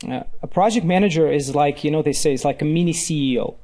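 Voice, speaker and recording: A man from Ukraine talks through his career as a podcast guest. His voice sits a little high for a man but sounds mellow and relaxed. He speaks reflectively, pausing on fillers and restarting sentences. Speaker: podcast guest, company CEO. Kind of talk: relaxed interview talk.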